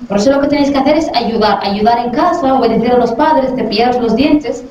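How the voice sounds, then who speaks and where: A woman's voice: fairly high pitch, brisk, a little mellow. A middle-aged woman from Western Sahara, a dentist, talking to a class of children and asking them questions as she goes. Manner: friendly, asks the children questions to check they follow. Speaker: dentist visiting a school class. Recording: very echoey, far from the mic, dull lo-fi sound.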